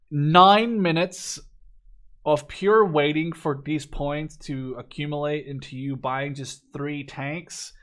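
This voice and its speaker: Male gaming host, twenties, from the Netherlands, slightly high-pitched voice, casual and opinionated review delivery. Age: 20s. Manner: opinionated, informal with swearing. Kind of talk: casual game review commentary.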